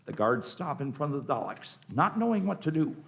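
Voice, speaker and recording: A man's voice: on the high side, very animated, a little fast, vocal fry. A man narrating a scripted radio drama, reading out the scenes and action.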